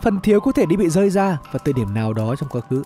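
A man reading a scripted dramatic story as narrator, in an expressive storytelling manner. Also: fairly high pitch, very fast. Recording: music bed under the voice. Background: background music.

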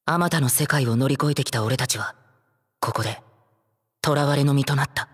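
An actor playing a young, determined, brooding traveler from another world in a Japanese anime game, with a breathy voice. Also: male voice, mid-range voice, fairly quick, close to an everyday voice. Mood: solemn, resolute. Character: young male otherworldly traveler, brooding, determined, searching for sister. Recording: music under the voice.

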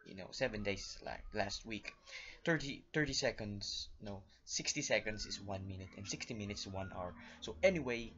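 A young man with a Filipino accent, talking casually to camera in a small room, halting and rambling with lots of fillers. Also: lowish voice, a bit bright, breathy edge, muffled recording. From Philippines. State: feeling unwell, voice off. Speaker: vlogger.

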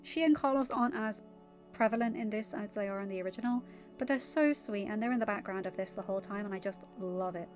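A woman's voice: medium pitch, fast, darkish tone, dull audio, faint music behind. A woman with an English accent reading fan fiction and commenting on it casually. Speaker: amateur fan fiction reader. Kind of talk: storybook narration and casual commentary.

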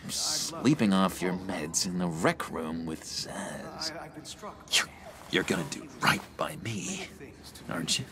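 An actor playing an eager, unhinged young schemer in a video game, halting and wheedling with sinister warmth. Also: male voice, low voice, big pitch swings, a loud crowd, heavily acted. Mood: insinuating, needling. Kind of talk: video game character acting.

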